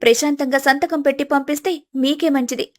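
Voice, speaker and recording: Young independent wife, played by a woman, high voice, measured, polite and resolute, storybook drama. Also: steady tone, close to an everyday voice. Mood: firm, composed. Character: calm, firm, independent young married woman.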